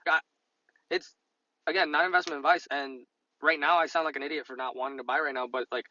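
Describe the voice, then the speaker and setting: A man co-hosting a podcast in casual chat, halting and repeating phrases as he thinks, on a slightly dull mic. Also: a little high, fairly even intonation, sharp tone, dry recording. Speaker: podcast co-host discussing stock investing.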